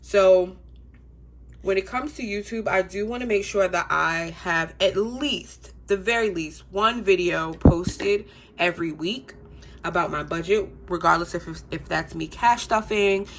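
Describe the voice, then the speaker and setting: A woman's voice: fairly low pitch, a little slow, slight background noise. Congested woman chatting about her budget. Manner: chatty, relaxed, frequent ums. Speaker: budgeting YouTuber. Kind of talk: casual to-camera vlog.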